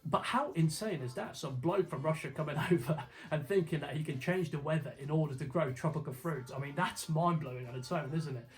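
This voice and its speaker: An emphatic man presents an informal explainer over background music. His voice sits fairly high for a man, and he talks colloquially, tossing questions at the viewer. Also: a little fast, hardly any pauses. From Slovakia. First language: Slovak. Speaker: video host. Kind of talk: informal explainer narration to camera.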